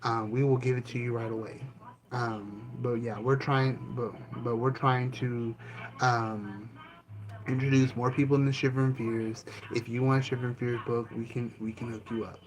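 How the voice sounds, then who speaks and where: A man hosting his own channel, answering questions about himself in a calm, easygoing way, with many ums and restarts. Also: medium pitch, normal speed, low-quality recording. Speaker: channel host and author. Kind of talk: casual self-interview answering questions. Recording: noticeable background ambience noise.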